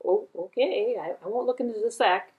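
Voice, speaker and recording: A woman's voice: a little high, brisk, phone-quality sound, lightly acted. A woman voicing a polite, apologetic housewife in a puppet tale, hesitant, repeating words and eager to agree. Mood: agreeable. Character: polite, apologetic housewife, a fretful kindly mother.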